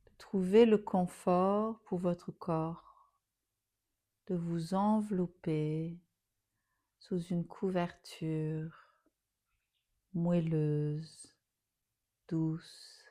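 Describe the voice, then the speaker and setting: Slow, mellow woman guiding relaxation. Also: lowish voice, flat delivery. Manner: soothing, unhurried, phrases softly trailing into breathy near-whispers. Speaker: yoga nidra teacher. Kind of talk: guided relaxation meditation.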